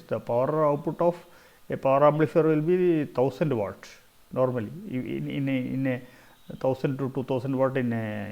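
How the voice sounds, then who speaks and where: A man's voice: mid-range voice, gravelly, darkish tone. A middle-aged man with a South Indian Malayali accent explains slides as a lecturer, often repeating phrases for emphasis. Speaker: engineering lecturer.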